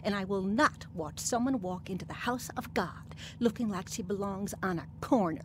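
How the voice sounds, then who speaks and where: A woman's voice: mid-range voice, normal speed, noisy background, close to an everyday voice. An actress playing an abusive, self-righteous mother in a radio drama, snapping with cold scorn. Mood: contemptuous.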